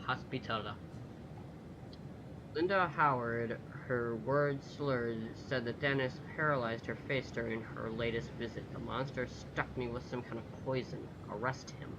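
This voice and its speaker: A man's voice: mid-range voice, fairly even intonation, a little slow, muffled recording, background noise. A man giving casual gameplay commentary as a video host, muttering to himself, swearing and reading text aloud.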